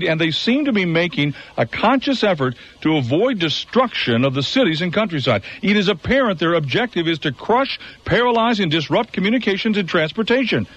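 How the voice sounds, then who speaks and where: A grave radio news announcer reading war bulletins in a steady, measured way. His voice sits a little high for a man, and the sound is muffled like an old radio broadcast.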